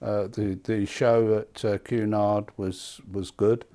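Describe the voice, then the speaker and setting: A man in his seventies with an English accent, reminiscing conversationally in an interview, halting and repeating words. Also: fairly low pitch, level tone, mellow. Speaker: loudspeaker maker being interviewed. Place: small indoor hi-fi demonstration room. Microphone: lapel mic.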